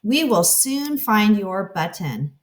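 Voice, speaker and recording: A woman's voice: mid-range voice, sing-song, slow pace. A woman playing a kind, helpful male frog with an encouraging, eager manner in a children's storybook read-aloud. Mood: reassuring.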